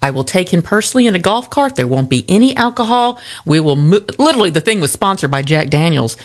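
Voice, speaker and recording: A woman co-hosting morning radio, her voice rising and falling a lot as she tells an animated story. She laughs often in casual banter. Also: lowish voice, fast talker. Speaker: radio co-host.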